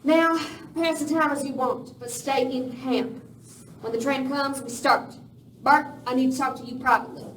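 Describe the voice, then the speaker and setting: Actress as tough female Western gang boss, high voice, curt and threatening, live radio play in an echoey room. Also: steady tone, normal speed, a bit bright. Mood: stern, commanding. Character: tough, ruthless young woman boss of Western outlaws. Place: live performance in a village venue. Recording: echoey hall, distant mic, faint noise.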